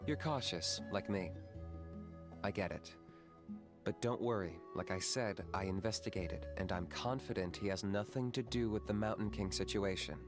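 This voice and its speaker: A cautious young male warrior from an anime game, acted by a man. He has a lowish voice and a calm, dry, instructive delivery. Faint music plays behind him. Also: normal speed, lightly acted. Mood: calm, reassuring. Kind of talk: anime video game voice acting.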